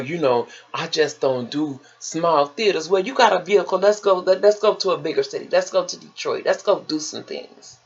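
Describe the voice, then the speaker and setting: An American woman from Chicago with a husky, gravelly voice, telling personal stories in a casual, chatty, emphatic and folksy manner, repeating phrases for effect. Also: deep voice, highs cut off. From Chicago, United States.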